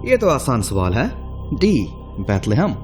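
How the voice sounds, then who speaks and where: An actor playing a polite, thoughtful taxi driver who thinks aloud as a quiz show contestant in a radio play. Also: male voice, medium pitch, huge pitch swings, over music. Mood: earnest, pondering. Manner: pondering aloud, polite and deliberate.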